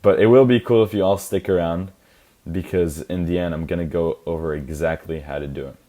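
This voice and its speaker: Man, very low pitch, worn-out voice; loose, filler-heavy live lecturer. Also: steady tone, a little fast, dark tone. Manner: casual, rambling, many fillers and restarts. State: tired, worn-out voice. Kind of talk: informal live lecture to listeners.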